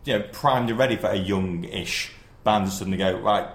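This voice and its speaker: A man's voice: fairly low pitch, fairly quick. A man co-hosting a casual podcast. He rambles and often restarts his sentences. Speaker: podcast co-host. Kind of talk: casual chat. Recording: roomy echo.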